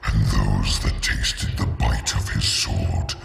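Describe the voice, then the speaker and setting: A man playing a grave demonic narrator in a video game, chanting an ancient legend slowly and solemnly in a breathy growl. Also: rumbling low, steady tone, roomy sound, full character voice. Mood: solemn, ominous. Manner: slow, solemn, portentous. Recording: loud music under the voice.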